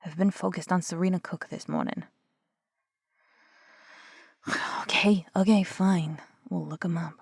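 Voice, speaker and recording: Low gravelly woman, skeptical and sarcastic. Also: fairly even intonation, unhurried, pauses often, dry recording. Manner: skeptical, argumentative, sarcastic, swears casually. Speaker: audio roleplay performer as a skeptical investigator. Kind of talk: scripted one-sided audio roleplay.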